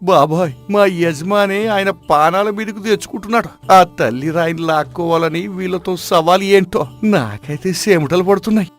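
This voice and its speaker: A timid, simple servant for a comic animated tale. The voice is high and fretful, whining anxiously over background music. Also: male voice, normal speed, hardly any pauses. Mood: worried. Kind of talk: comic animated story voice acting.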